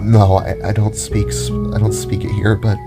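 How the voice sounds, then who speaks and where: A man's voice: on the low side, level tone, over loud music, close to an everyday voice. Man playing a choked-up young robot brother. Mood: flustered, emotional. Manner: halting, choked delivery. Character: weeping male robot-like spark, shaken younger brother. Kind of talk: amateur audio drama acting.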